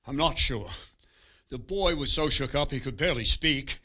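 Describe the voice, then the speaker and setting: An actor playing a dutiful captain of the castle guard in a medieval video game, formal and urgent. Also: male voice, mid-range voice, moderate pace, bright, forceful tone. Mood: grave, urgent. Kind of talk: video game cutscene acting.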